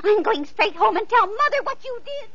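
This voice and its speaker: A woman's voice: extremely high pitch, expressive, moderate pace, fairly bright tone. A woman playing a spiteful, sly young sister in a vintage radio play, sneering and mean. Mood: cruel. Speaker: radio actress. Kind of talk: 1940s radio acting. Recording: muffled old radio recording with faint hiss.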